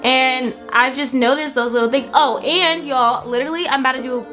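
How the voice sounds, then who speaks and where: A woman's voice: sits high, fairly even intonation, brisk, pushed and bright, faint music behind. A woman of twenty-one talking casually to her viewers as a vlogger, in an upbeat, encouraging, chatty way with emphatic repetition. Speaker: vlogger hosting own channel. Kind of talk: casual chat to camera.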